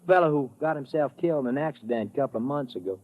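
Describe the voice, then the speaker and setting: A man's voice: medium pitch, expressive, racing, natural voice. A man acting an easygoing army sergeant with a folksy Southern US drawl in an old radio drama. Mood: helpful, matter-of-fact. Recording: muffled old radio recording.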